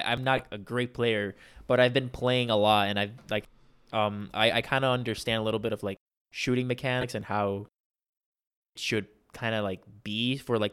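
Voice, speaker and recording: A man's voice: mid-range voice, a bit bright. A man in a casual sports discussion, explaining carefully with many restarts. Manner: analytical, hesitant restarts.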